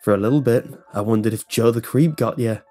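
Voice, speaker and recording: A man plays a wry older male co-worker in a horror story, gossiping in a conversational, rambling way. His voice is mellow and mid-pitched, with faint music behind it. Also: quick pace, natural voice. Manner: casual, conversational, rambling recollection.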